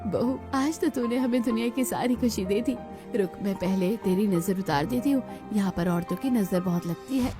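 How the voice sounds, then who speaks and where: A woman's voice: medium pitch, moderate pace, music in the background, close to an everyday voice. A woman voicing a doting older village mother-in-law in a cartoon story, motherly and emphatic. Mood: delighted, doting. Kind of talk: Hindi cartoon story dialogue.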